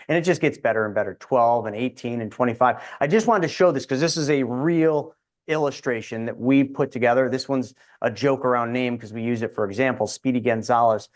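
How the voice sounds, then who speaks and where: A man speaking as a guest in a casual interview, explaining in a confident, quick way with restarts. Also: mid-range voice. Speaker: insurance advisor guest.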